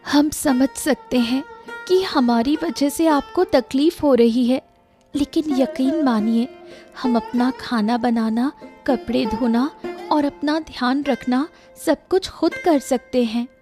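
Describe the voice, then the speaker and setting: A woman plays an earnest young village woman in a cartoon moral tale. Her voice is on the high side, respectful and patient, and unhurried over background music. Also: dark tone. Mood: earnest, reassuring. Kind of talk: Hindi cartoon moral-story dialogue. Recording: music bed under the voice.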